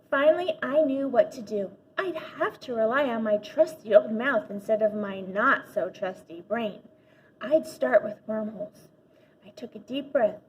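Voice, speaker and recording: A woman reading a children's story aloud like a teacher, animated, with dramatic pauses and emphasis. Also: fairly high pitch, slightly rough, muffled recording. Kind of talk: expressive children's audiobook-style read-aloud.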